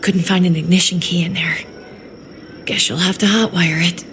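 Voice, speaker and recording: A woman's voice: lowish voice, fairly even intonation, moderate pace, fairly bright tone, slight background noise, natural voice. An actress playing a practical, nostalgic mother in a video game drama, speaking in a casual, understated manner. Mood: hushed urgency. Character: practical, nostalgic mother surviving a zombie apocalypse.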